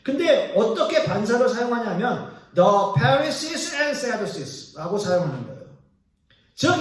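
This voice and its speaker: High-voiced pastor preaching in an echoey hall. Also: male voice. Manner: explanatory, asks the congregation questions. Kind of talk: sermon. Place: church sanctuary. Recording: echoey church hall from far off.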